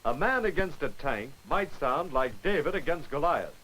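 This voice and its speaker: A man giving brisk, wry scripted narration for a 1940s military training film, on an old film soundtrack. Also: fairly high pitch, big pitch swings, pushed and bright, slight background noise, low-quality recording.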